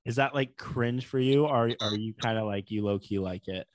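A man's voice: on the low side, moderate pace. A man co-hosting a podcast in a casual, conversational style. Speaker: podcast co-host. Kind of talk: casual chat.

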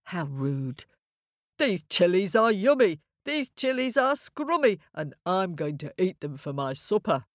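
A woman's voice: on the low side, sing-song, a little slow, dry recording, clean studio sound. A woman voicing a rude, greedy old ram in a children's story. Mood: indignant. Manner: rude, indignant.

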